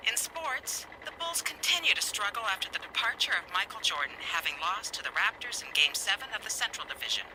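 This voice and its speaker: An actress playing a formal radio newsreader in an audio drama, heard as if through a car radio. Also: female voice, medium pitch, lively intonation, fairly quick, few pauses, music in the background, rough recording. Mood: brisk, neutral. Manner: formal announcer delivery. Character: female radio newsreader giving sports results. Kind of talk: radio news bulletin.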